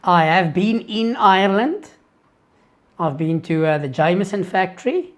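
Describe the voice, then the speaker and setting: A South African man whose first language is Afrikaans, earnestly answering viewer questions in a live-stream Q&A, halting and restarting as he thinks. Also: high-pitched, expressive.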